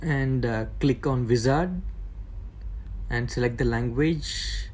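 A man presenting a tech tutorial, explaining the setup step by step in a plain, halting way with fillers. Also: medium pitch, a little mellow, a little hiss or hum. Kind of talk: casual step-by-step demonstration.

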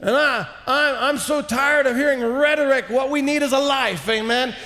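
Very high-voiced guy preaching hard in a big church, shouts a lot, long echo off the hall. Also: normal speed. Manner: impassioned, shouting at the peaks and dropping low for quotes. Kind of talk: sermon. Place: large church sanctuary, indoors. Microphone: headset mic.

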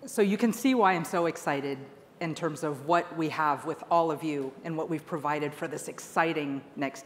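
A woman's voice: sits low, a little hiss or hum. A woman delivering an earnest, emphatic keynote speech to a conference audience. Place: large conference hall stage. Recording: long hall reverb.

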